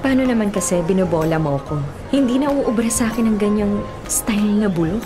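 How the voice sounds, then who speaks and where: A woman's voice: medium pitch, fairly quick, music in the background, close to an everyday voice. An actress playing a bitter, guarded young woman in a Filipino radio drama, haughty, curt and exclamatory. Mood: scornful.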